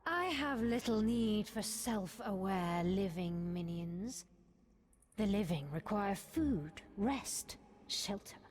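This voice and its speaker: Slow, haughty villainess. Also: female voice, medium pitch, lively intonation, slight background noise. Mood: smug, condescending. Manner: deliberate, condescending, theatrical. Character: scheming, haughty female necromancer villain. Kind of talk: video game voice acting.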